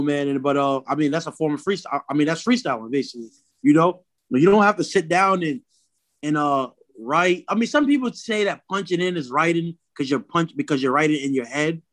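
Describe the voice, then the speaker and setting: A man's voice: fairly high pitch, normal speed, no echo. A man talking casually as an interview guest, using lots of slang and tags like "man" and "you know what I'm saying".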